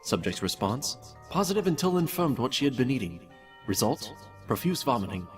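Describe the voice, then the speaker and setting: A man's voice: medium pitch, sing-song, normal speed, natural voice. A man voicing a cold, detached mad scientist who pompously dictates cruel experiment notes in a fan-made audio drama. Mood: clinical, detached. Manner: clinical, detached, pompous, menacingly polite. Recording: distant voice with music behind.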